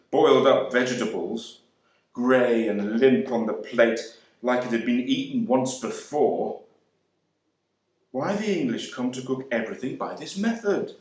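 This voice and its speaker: An actor brings to life a proud young airman with a wry, measured way of talking. He speaks slowly, with deliberate pauses, at an ordinary mid pitch. The voice rings out in a very echoey room. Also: darkish tone, close to an everyday voice. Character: young Jamaican man serving in the air force, proud and wry. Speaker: actor reading a monologue. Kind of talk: dramatic monologue reading.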